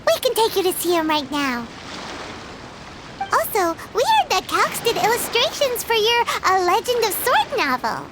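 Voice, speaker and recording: A woman voicing a small, childlike, excitable fairy companion in a video game, squeaky and bubbly with chatty exclamations. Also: normal speed, background noise, exaggerated voice. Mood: reassuring. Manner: bubbly, exclamatory, refers to self by name. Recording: background music under the voice.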